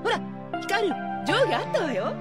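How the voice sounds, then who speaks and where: A woman playing a proud, commanding high school girl, a caring eldest sister, in a Japanese anime audio drama. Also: sing-song, fairly quick, loud music behind the voice. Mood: bossy, caring. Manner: commanding, self-assured, scolding.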